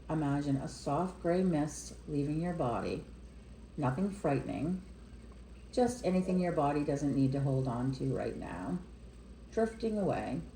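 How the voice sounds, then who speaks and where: A woman leading a guided meditation in a gentle, unhurried way, speaking in short phrases with pauses between them. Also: low-pitched, echoey room, slight background noise, rough recording. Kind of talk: guided meditation, slow soothing narration.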